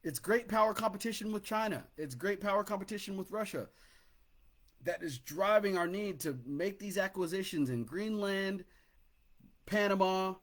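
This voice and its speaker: A middle-aged American man giving a personal political talk as a commentator, speaking emphatically and haltingly, often repeating words. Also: sits high, expressive, fairly bright tone.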